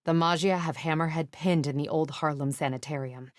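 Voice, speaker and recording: A woman's voice: low-pitched, fairly even intonation, a little fast, dry recording, natural voice. A woman voicing a tough, no-nonsense female police captain in a video game, speaking in a terse, authoritative, dry manner. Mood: stern, controlled.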